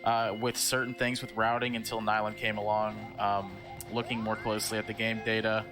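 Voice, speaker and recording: A man casually commenting on his own speedrun, chatty and relaxed, with frequent fillers. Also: fairly low pitch, fairly quick. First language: English. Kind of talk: casual commentary while playing. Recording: game music behind voice. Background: game music.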